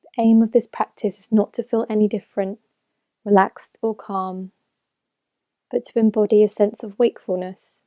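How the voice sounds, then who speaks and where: A woman leading a guided meditation as a mindfulness teacher, speaking in a gentle, measured way with phrases that soften at the end. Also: mid-range voice, steady tone, no room sound, studio quality. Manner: gentle, measured, unhurried instruction with soft phrase endings. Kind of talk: slow guided meditation narration.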